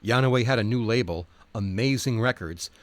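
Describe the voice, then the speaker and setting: A man's voice: medium pitch, a little fast, dry recording. A man reading nonfiction aloud as a narrator, in an articulate, wry, wordy style.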